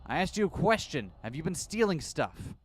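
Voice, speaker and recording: A man voicing a hot-tempered young male gang leader who makes slow, snarling threats. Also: medium pitch, lively intonation, slight background noise, close to an everyday voice. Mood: furious.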